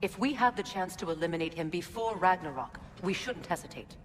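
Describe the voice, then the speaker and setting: An actress playing a proud, bitter and ruthless Norse goddess in a video game, speaking formally with a cool, sharp tongue. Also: female voice, lowish voice, lively intonation, a little fast, a little hiss or hum, close to an everyday voice. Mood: cool, insistent. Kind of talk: video game dramatic acting. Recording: game music behind the voice.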